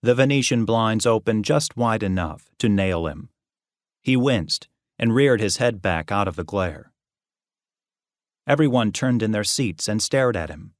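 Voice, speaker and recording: A man's voice: fairly low pitch, expressive, measured pace, dry recording. Man narrating fiction, dry close sound. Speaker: audiobook narrator.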